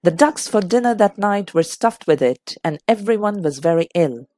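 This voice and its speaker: A woman's voice: medium pitch, expressive, moderate pace, darkish tone, no echo, clean studio sound, lightly acted. A woman voicing a stubborn, flustered upper-class hostess in a mystery audiobook, protesting plaintively. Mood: reluctant, plaintive. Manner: indignant, obstinate protesting.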